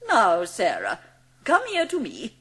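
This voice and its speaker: A woman's voice: medium pitch, wildly swooping pitch, slow, fairly bright tone, slight background noise, exaggerated voice. A woman playing a formal, bossy, snobbish headmistress of a girls' school in an audiobook drama.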